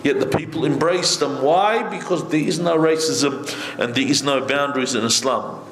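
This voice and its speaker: A middle-aged man giving a conversational sermon from a seated position, speaking into a stand microphone with lively gestures and asides. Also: fairly high pitch, normal speed, creaky. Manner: animated, conversational preaching with many asides, gesturing. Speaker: sheikh giving a majlis lecture. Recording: echoey hall.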